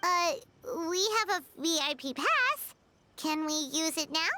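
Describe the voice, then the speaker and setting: Squeaky, chirpy little fairy companion. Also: female voice, expressive, unhurried, bright, forceful tone, a little hiss or hum, full character voice. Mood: curious. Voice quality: squeaky, childlike. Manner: chirpy, inquisitive. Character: tiny childlike female companion creature, chirpy and blunt. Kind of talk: video game voice acting. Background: music.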